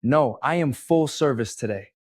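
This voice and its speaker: A man's voice: mid-range voice, normal speed, no room sound, clean studio sound, voice pushed up, lightly acted. A man voicing a playful, wry young male coworker at a poolside snack bar. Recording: faint music behind.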